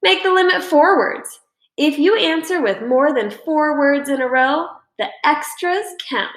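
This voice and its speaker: Woman voicing a high-pitched, scornful bossy schoolgirl. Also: animated, a little slow, full character voice. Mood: brisk, challenging. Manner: sharp, challenging. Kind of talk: acted children's storybook reading. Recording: roomy indoor echo.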